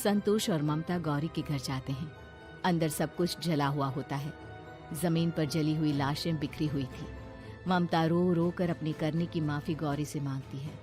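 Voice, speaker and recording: A female storyteller delivers scripted horror narration in a dramatic style. Music is clearly heard behind her voice. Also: sits low, fairly even intonation, unhurried.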